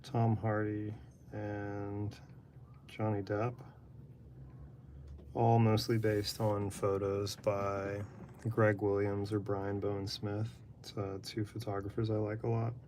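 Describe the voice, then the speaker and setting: A man chatting in a flat, monotone, rambling way while drawing live in his apartment. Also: lowish voice, slow pace, slight background noise. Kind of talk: casual chat while drawing. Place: apartment room.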